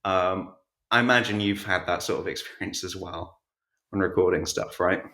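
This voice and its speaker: A man's voice: sits low, steady tone, normal speed, bright, forceful tone, echoey room. A man chatting casually as an interview guest, with a hesitant, self-effacing way of talking. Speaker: podcast guest, writer and voice actor.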